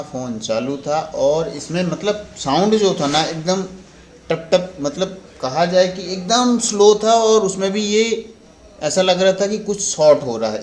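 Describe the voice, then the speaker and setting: An Indian man giving an informal repair tutorial as a technician, explaining step by step and often repeating and rephrasing his points. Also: mid-range voice. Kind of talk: informal spoken repair walkthrough. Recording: roomy echo, faint background noise.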